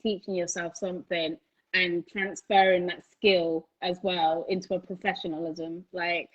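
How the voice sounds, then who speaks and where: A woman's voice: on the low side, flat delivery, unhurried. Chatty woman interviewer, lo-fi sound. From United Kingdom. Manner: chatty and enthusiastic, laughs often, many fillers. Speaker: interviewer, channel host. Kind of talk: casual interview chat. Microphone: phone recording.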